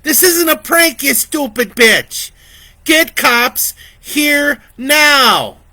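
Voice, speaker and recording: A man voicing a fierce, terrified teenage girl babysitter in a storybook reading, frantic and yelling. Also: very high-pitched, expressive, drawn-out words, no room sound, voice pushed up, full character voice. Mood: panicked yelling.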